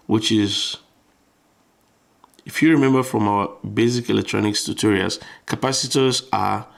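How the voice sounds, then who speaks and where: Male tutor, low creaky voice, informal step-by-step electronics walkthrough with repeated phrases. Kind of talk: explanatory tutorial, thinking aloud while demonstrating.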